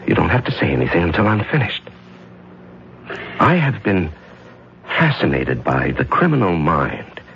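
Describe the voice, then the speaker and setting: A man playing a calm, cold-blooded middle-aged judge who secretly kills, in a 1970s radio drama, smooth and coolly patronizing. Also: low-pitched, moderate pace, pauses often, slight background noise, full character voice. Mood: soothing, reasoning. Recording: dull old radio broadcast with music.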